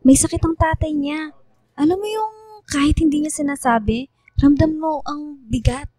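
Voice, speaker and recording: A woman acts out a tender, sincere schoolgirl for a radio drama. The voice is high and softly mellow, recorded dry and close, and she speaks in a heartfelt, earnest way. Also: moderate pace, no echo, natural voice. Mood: troubled, sympathetic. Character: Lia, earnest, tender schoolgirl grateful to her teacher. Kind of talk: radio drama dialogue and heartfelt letter reading.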